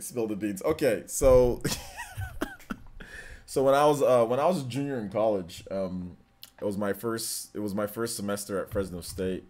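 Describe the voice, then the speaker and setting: A man's voice: medium pitch, darkish tone. A man in his thirties streaming from his home gaming room, chatting loosely with viewers, laughing often and repeating himself. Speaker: streamer answering chat questions. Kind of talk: casual live-stream chat.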